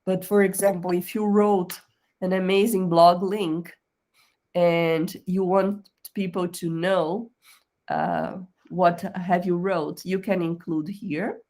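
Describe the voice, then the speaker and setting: Woman career coach, slightly lower voice, patient step-by-step webinar delivery, often checks in with "okay?". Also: steady tone, takes it slowly, a little mellow. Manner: explanatory, checks in with "okay?", non-native phrasing. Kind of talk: screen-share tutorial on a professional profile.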